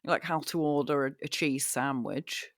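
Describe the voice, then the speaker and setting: A woman co-hosting a podcast in casual chat, talking into a microphone, animated and self-mocking, swearing casually. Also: rumbling low, moderate pace. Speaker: podcast co-host.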